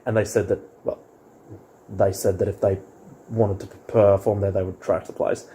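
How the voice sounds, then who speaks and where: A man's voice: fairly low pitch, little pitch movement, normal speed, warm tone. A man co-hosting a casual chat about music and films, often hesitant and searching for words. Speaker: co-host reviewing music and films.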